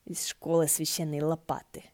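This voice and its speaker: Sardonic male demon voiced by a woman, grumpy, curt, dry, dark timbre, audiobook dialogue. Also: low-pitched, flat delivery, normal speed, close to an everyday voice. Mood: irritated. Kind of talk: fan fiction audiobook dialogue.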